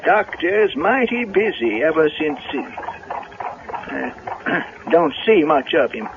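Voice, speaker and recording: An actor playing a folksy, nervous manservant with halting rustic speech in a 1970s radio drama. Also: male voice, a little high, sing-song, heavily acted. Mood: hesitant, deferential. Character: folksy, nervous male servant and carriage driver. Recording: muffled, noisy vintage radio recording.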